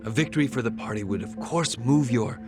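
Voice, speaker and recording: An actor playing a young, ambitious male politician who talks smoothly and makes veiled threats. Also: mid-range voice, brisk, loud music behind the voice, lightly acted. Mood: persuasive. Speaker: governor seeking party chair.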